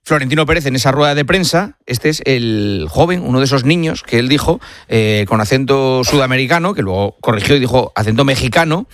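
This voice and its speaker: A man with an accent from Spain hosting a live sports radio show, speaking deliberately with hesitant pauses into a studio microphone. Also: mid-range voice, lively intonation, no room sound. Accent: Spanish from Spain. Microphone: studio broadcast microphone.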